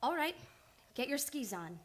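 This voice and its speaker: A woman's voice: sits high, very animated, moderate pace, slight background noise. A woman playing an earnest, insistent psychoanalyst in old time radio drama, urgent and probing.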